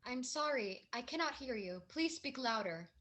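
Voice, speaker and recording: An actress playing a polite, detached telephone operator in a 1940s radio drama, speaking formally. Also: female voice, on the high side, lively intonation, moderate pace, fairly bright tone. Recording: muffled, distant, very noisy hum.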